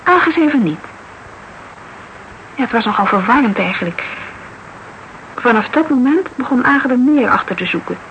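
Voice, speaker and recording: An actress playing a lively, shrewd young detective's associate in a Dutch radio crime drama, talking colloquially and emphatically, as if thinking aloud. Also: female voice, fairly high pitch, normal speed, pauses often, dull audio, a little hiss or hum. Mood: animated recounting.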